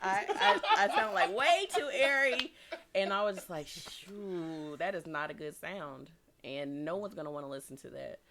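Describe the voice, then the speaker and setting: A young woman with a deep voice, telling a story in casual chat and laughing often. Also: animated, moderate pace, background noise.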